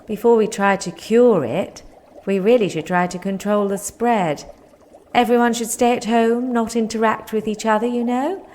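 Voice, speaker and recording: A woman's voice: fairly high pitch, steady tone, normal speed, a little mellow, lightly acted. A woman playing an earnest, clever, mildly dreamy royal adviser in a comic audio drama.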